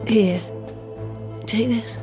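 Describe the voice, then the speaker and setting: A woman's voice: a little high, fairly even intonation, extremely slow, mellow, soft background music. A woman playing a cautious, knowing helper in an audio drama, giving cryptic hints.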